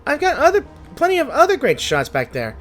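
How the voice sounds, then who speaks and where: Upbeat young female reporter for a game, brisk and breezy, lively intonation, music underneath. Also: high-pitched. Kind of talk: scripted game character dialogue.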